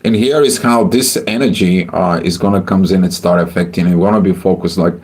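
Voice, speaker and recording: A man from Bulgaria, hosting a livestream astrology forecast in a halting way with many filler sounds. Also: low voice, steady tone, darkish tone.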